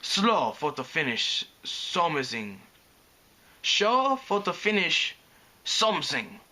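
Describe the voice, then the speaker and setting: A man's voice: on the high side, sing-song, slow, pushed and bright, voice pushed up, heavily acted. A man voicing an imperious, flamboyant female pony photographer with a German accent, barking dramatic commands in broken English for a story.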